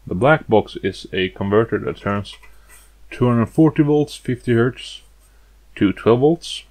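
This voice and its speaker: A man's voice: medium pitch, lively intonation, measured pace, darkish tone. A man narrating a step-by-step demonstration in a plain, matter-of-fact manner. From outside the United States. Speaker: hobbyist demonstrating a vintage gadget.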